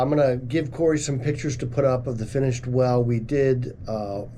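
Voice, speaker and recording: A man's voice: mid-range voice, dark tone. A middle-aged man hosting a finance radio show in chatty, rambling talk, with a slight cold that makes him a little nasal. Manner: chatty, rambling, self-correcting.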